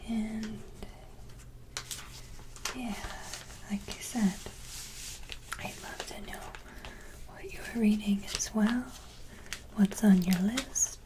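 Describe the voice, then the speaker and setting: A woman hosting an ASMR video, chatting casually to viewers in a soft, gentle whisper. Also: mid-range voice, fairly even intonation, very slow, pauses often, noisy background.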